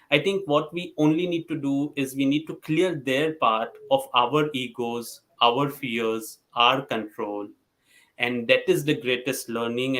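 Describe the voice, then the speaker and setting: A man's voice: mid-range voice, fairly bright tone. A man from India speaking earnestly as a parent on a podcast panel, hesitantly searching for words.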